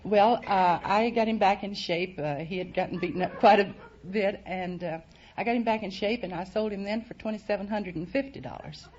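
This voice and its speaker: A woman's voice: medium pitch, brisk, darkish tone, dull audio, slight background noise. A woman answering questions as a quiz show contestant on an old radio recording.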